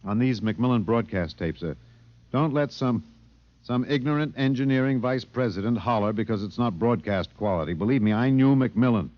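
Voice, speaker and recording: A man's voice: medium pitch, moderate pace, no echo, lightly acted. An actor playing a grave, earnest newsman narrating a 1950s radio drama in a dramatic manner. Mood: insistent, casual. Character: grave newsman narrator recalling a space tragedy. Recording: dull old radio recording.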